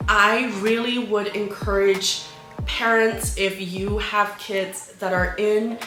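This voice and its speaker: A woman's voice: mid-range voice, fairly even intonation, slow, echoey room, over music, poor audio. A woman from China in her thirties, talking casually to camera in a vlog while congested from a cold, chatty with many fillers. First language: Chinese. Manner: chatty, with frequent fillers and soft laughs. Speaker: English-teaching YouTuber.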